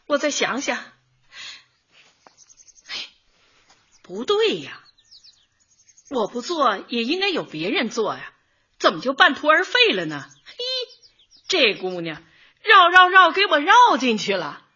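An actress playing a plain-spoken, good-hearted middle-aged neighborhood woman with a husky voice, grumbling expressively in a light radio comedy. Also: high-pitched, sing-song, measured pace, long pauses, pushed and bright, exaggerated voice. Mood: puzzled, realizing. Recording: dull lo-fi audio, faint music.

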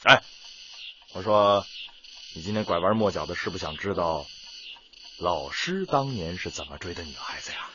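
Animated, bright-voiced teasing young tutor. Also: male voice, sits low, moderate pace, pauses often, a little hiss or hum. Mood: teasing, amused. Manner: teasing, colloquial, exclamatory. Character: teasing, brusque but fond young male home tutor. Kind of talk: Chinese radio drama acting. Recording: dull lo-fi radio drama with music.

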